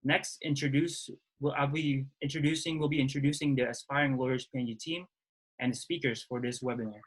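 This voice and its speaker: A young man from Indonesia, a law student, hosting and introducing a webinar in a halting way with restarts. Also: mid-range voice, steady tone, breathy edge, low-quality recording. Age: young adult. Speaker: webinar host, student society president.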